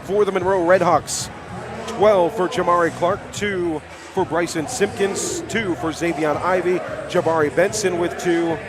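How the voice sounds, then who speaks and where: A male sports announcer with a fairly high voice works a live basketball broadcast. He projects in an upbeat, chatty way, moving between play-by-play and game summary from a high school gym. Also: steady tone, a little slow.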